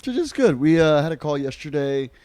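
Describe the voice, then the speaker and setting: A man's voice: on the high side, very animated, brisk, darkish tone, clean tone, no echo. A man co-hosting a podcast in casual chat, with a joking, bantering manner. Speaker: podcast co-host.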